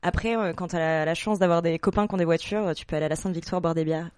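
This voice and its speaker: A woman in her late twenties from Normandy, a guest on a radio show, talking casually with many pauses and restarts. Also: on the low side, fairly even intonation, a little mellow, no echo, studio quality. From Normandy, France. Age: late 20s. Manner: hesitant, many fillers and restarts. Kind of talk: casual interview chat.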